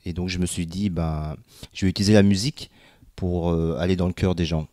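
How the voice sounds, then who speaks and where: A man with a very low voice, recorded close and dry with no room sound. He answers as a guest singer in a relaxed, halting way, often pausing to fill gaps. He is from Vietnam. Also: dry recording. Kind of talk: casual interview answers.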